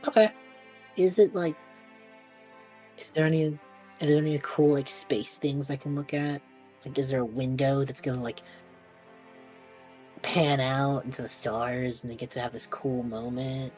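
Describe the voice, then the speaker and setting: A woman's voice: very deep voice, steady tone, measured pace, pauses often, darkish tone, soft background music. A woman playing an awkward, wide-eyed young male time traveller in improvised tabletop role-play, rambling eagerly. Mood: eager, awed.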